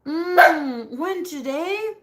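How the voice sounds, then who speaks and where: A woman with a raspy voice chatting casually on a live stream, greeting viewers by name, giggling often and slipping Spanish words into her talk. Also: very high-pitched, expressive, drawn-out words, a bit bright. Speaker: livestream host reading viewer comments.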